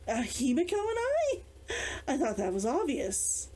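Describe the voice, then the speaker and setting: A woman's voice: high-pitched, wildly swooping pitch, measured pace, warm tone, full character voice. A woman reading aloud a stubborn, guarded young storybook heroine with an exasperated, defensive manner.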